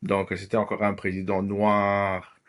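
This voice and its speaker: Hesitant Congolese man, lowish voice. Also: monotone, a little slow, a bit bright. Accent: Congolese French. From Democratic Republic of the Congo. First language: Lingala. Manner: hesitant, many fillers, drops in English words. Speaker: podcast guest. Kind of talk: casual interview talk.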